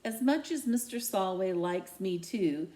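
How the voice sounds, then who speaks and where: A woman reading a children's novel aloud in the first person, with expressive, emphatic storytelling. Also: mid-range voice, measured pace. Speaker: read-aloud reader. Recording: echoey, roomy home recording.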